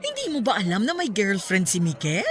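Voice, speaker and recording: A woman playing a warm, easily astonished middle-aged mother chatting with her best friend in a radio drama. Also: mid-range voice, huge pitch swings, a little fast, soft background music. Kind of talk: conversational radio drama acting.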